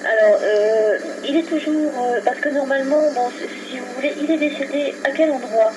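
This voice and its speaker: A woman working as a helpline operator on a phone call, explaining things in a matter-of-fact way. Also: normal speed. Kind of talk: customer service phone conversation. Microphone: telephone line. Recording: noisy low-quality telephone recording from 2001.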